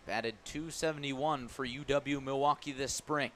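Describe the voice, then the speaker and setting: An American man doing live radio baseball play-by-play, building excitement as the action unfolds. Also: medium pitch, unhurried, slight background noise.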